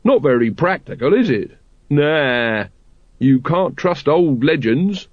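Blunt cultist, male, as a male narrator puts him on: high, loud, grumbling, dry lo-fi sound. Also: lively intonation, slow pace, no room sound. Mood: scoffing. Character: dour, blunt working-class male cult member. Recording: dry, dull lo-fi recording.

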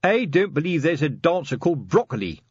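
A man's voice: a little high, big pitch swings, a little fast, breathy edge, no room sound, muffled recording, pitched above the performer's own voice. A man voicing a prudish, embarrassed, stolid police sergeant in an audiobook, uneasy and disapproving. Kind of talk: audiobook character acting.

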